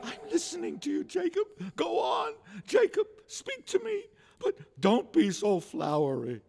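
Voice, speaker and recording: An actor playing a frightened old miser, stammering and pleading in old-time radio drama style. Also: male voice, extremely high pitch, wildly swooping pitch, a little slow, creaky, darkish tone, exaggerated voice. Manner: stammering, exclaiming.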